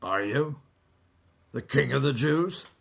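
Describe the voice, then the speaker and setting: A man voicing a governor who asks questions in a measured way. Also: mid-range voice, animated, vocal fry, fairly bright tone, husky, voice pushed up, full character voice. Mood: questioning.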